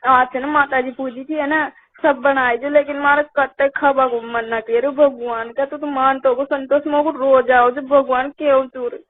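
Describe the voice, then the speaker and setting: Woman, high voice, Rajasthani accent. Casual, complaining phone chat with repetition, heard through a thin, narrowband line. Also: flat delivery, a little slow. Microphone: phone call. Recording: tinny phone line call recording.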